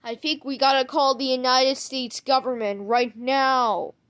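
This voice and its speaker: A woman acting out an improvised amateur skit, speaking haltingly and repeating her words. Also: sits high, fairly even intonation, a bit bright. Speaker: creator acting out an emergency alert skit.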